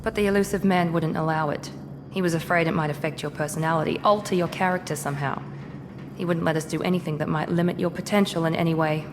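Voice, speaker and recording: Brief: an aloof female soldier, curt, lower register, game audio with hiss. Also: steady tone, fast. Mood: cool. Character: cool, guarded female Cerberus operative. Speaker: game character. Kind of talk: video game dialogue. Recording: game audio with long reverb and clear hiss.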